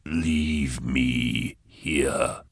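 A man voicing a male Inquisitor in a trance, speaking in slow, halting, low words. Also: steady tone, no echo, studio quality, heavily acted. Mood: distant. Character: gravel-voiced entranced male Inquisitor.

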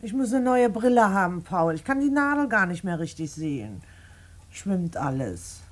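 A woman's voice: mid-range voice, very animated, normal speed. A woman playing a homely, mildly grumbling wife in a German radio play, speaking colloquially. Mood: mildly fretful. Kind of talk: 1950s-set German radio play acting.

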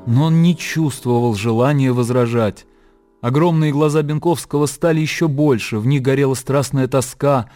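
A man's voice: mid-range voice, moderate pace, warm tone. A man reading scripted literary narration as the narrator of a radio drama.

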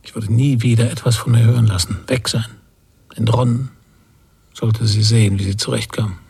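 Husky, brooding bitter father. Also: lowish voice, fairly even intonation, moderate pace, mellow, full character voice. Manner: terse, fragmented inner monologue. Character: bitter, guilt-ridden emigrant father, brooding and irritable. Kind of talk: German radio drama interior narration.

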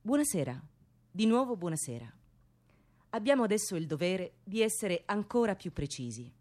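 An actress playing a coldly formal, ironic narrator in radio theatre, measured and deliberate, in short phrases. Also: female voice, medium pitch, sing-song, a little mellow.

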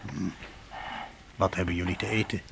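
An actor playing a gruff, commanding frontier trapper in a Dutch radio play, speaking brusquely and urgently. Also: male voice, low-pitched, level tone, normal speed, crowd noise. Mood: grave, regretful. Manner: brusque, urgent, giving orders.

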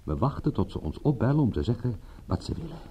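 A man's voice: mid-range voice, sing-song, quick pace, dark tone, a little hiss or hum, lightly acted. An actor playing a tough, impatient and shrewd male private detective in a radio drama, commanding and full of pointed questions. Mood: grim, subdued. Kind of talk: radio drama acting in Dutch.

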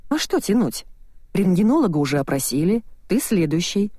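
A reader voicing a cynical, condescending male hospital lawyer in an audiobook, brisk and bossy. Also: mid-range voice, big pitch swings, mellow, no room sound, clean studio sound. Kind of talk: audiobook dialogue reading.

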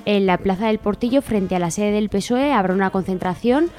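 A woman's voice: mid-range voice, fairly quick, a little mellow, soft background music. A woman from Spain, co-hosting a radio show and reading out event listings.

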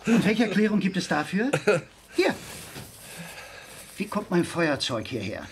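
A man's voice: high voice, sing-song, unhurried, full character voice. Testy husband: male, emphatic and sarcastic, swinging intonation, radio mystery with faint noise. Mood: insistent, sarcastic. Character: irritable, insistent husband shaken by a strange night. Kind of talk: German radio crime drama acting.

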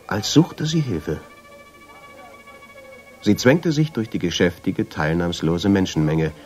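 Male radio drama narrator, middle-aged traveler: low, very expressive, brooding and intrigued, music underneath.

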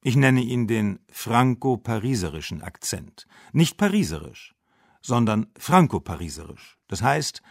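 A man reading a German voice-over translation over an interview, slow and deliberate. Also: lowish voice, big pitch swings, creaky, no echo, studio quality. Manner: slow, deliberate dubbing read.

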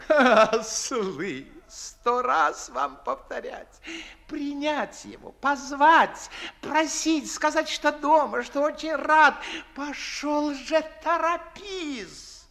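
An actor playing a pompous, fawning older Moscow gentleman and father in a theatrical 1950s radio comedy, declamatory and fussy, drawing out his emphatic words. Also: extremely high pitch, lively intonation, very slow, vocal fry, heavily acted. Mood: flustered, eager. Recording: 1950s Soviet radio play recording.

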